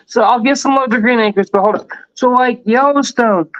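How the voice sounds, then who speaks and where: A man's voice: very high voice, moderate pace, dry recording. Tipsy man rambling. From Texas. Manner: rambling, profane, animated riffing. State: tipsy from drinking. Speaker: show host. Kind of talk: casual drinking chat. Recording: dry, slightly dull lo-fi sound.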